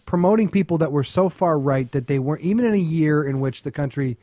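A man's voice: fairly high pitch, fast, mellow, no echo. A man co-hosting a podcast in casual comedy chat, ranting with crude, sarcastic scorn. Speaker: podcast co-host.

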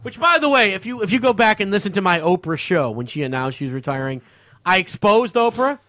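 A man co-hosting comedic radio banter, scornful and opinionated, quick to chime in. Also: sits high, expressive, bright, forceful tone, no room sound, studio quality. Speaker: talk show co-host.

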